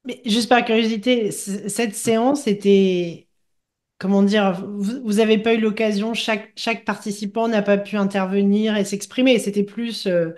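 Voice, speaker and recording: Female interviewer, gentle and searching, medium pitch. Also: steady tone, moderate pace. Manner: gentle, searching questions with restarts. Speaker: interviewer, host. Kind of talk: interview conversation.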